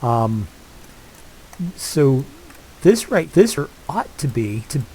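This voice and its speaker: A man's voice: medium pitch, sing-song, very slow, warm tone, husky. Congested older man rambling through gameplay. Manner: rambling, many fillers and restarts. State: congested from a head cold. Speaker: let's play host. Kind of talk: casual gameplay commentary.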